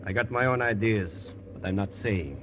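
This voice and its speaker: An actor playing a gruff, folksy older male tunnel worker, in 1940s radio style. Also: fairly low pitch, normal speed, lightly acted. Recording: dull, faintly hissy old radio recording.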